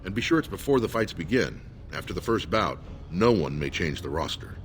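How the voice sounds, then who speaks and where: A man playing a loyal, earnest dwarven soldier with a formal, measured delivery in a video game. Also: low voice, big pitch swings, a little hiss or hum. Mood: businesslike. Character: loyal dwarven soldier, solemn supporter of his lord. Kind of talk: scripted video game dialogue.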